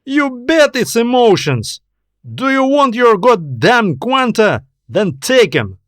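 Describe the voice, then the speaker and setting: A man's voice: very high voice, very animated, slow pace, no room sound, clean studio sound, pitched above the performer's own voice, full character voice. A man acting a bitter, furious, defiant man. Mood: angry, defiant. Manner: forceful, scornful, swearing. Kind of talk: science fiction audio story.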